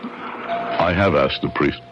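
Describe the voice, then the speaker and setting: An actor playing a grieving immigrant father set on revenge in an old radio drama, speaking in solemn, deliberate, formal phrases. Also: extremely low pitch, music in the background. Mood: grieving, resigned. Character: grieving immigrant father, shoe repairman, bitter and bent on revenge. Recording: dull, noisy old radio broadcast.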